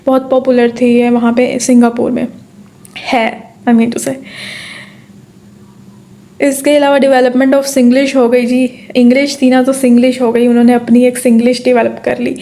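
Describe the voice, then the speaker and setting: Woman tutoring with a flat, mellow voice. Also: fairly high pitch, a little slow. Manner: explains step by step, repeats points, asks and answers own questions; frequent fillers and "theek hai" checks. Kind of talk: exam-prep lecture in Urdu-English mix.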